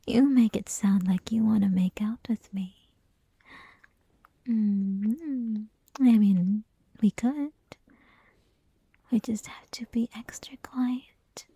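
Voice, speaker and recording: A woman's voice: on the high side, dark tone, dry recording, exaggerated voice. A woman playing a flirty, possessive college classmate who teases the listener in an intimate, mostly whispered ASMR roleplay. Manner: teasing, breathy, mostly whispered, giggly.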